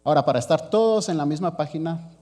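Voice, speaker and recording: A man delivers an earnest sermon to his congregation in a mellow, warm voice, speaking to them directly as brothers. A faint mains hum sits under the recording. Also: on the high side, quick pace. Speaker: preacher.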